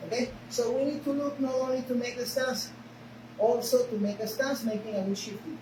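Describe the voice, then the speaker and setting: A woman's voice: on the high side, fairly even intonation, brisk. A woman with a Spanish accent, a native Spanish speaker, teaching a karate class in short broken phrases and often checking in with "okay?". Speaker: karate instructor. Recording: echoey room, mic far off, noisy.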